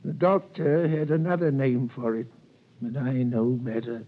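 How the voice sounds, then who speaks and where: An actor playing a gossiping older townsman who speaks slowly and knowingly, in an old radio drama. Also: medium pitch, flat delivery, close to an everyday voice. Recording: tinny old radio broadcast.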